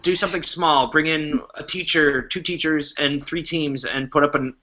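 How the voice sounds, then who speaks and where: A man's voice: on the high side, fairly even intonation, normal speed, pushed and bright, muffled recording. A man chatting informally and enthusiastically on a podcast. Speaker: podcast guest, improv festival organizer. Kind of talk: casual podcast discussion.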